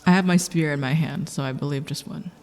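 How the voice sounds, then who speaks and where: A woman playing a formal, wry vampire knight in a tabletop game, speaking in deliberate phrases with a creaky voice. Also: low-pitched, a little mellow. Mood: resolute. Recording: long reverb.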